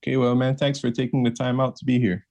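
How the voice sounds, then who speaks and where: A man's voice: medium pitch, level tone, fast talker, a little mellow. A man hosting an interview podcast, asking his guest questions in a casual, laid-back way. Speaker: podcast host.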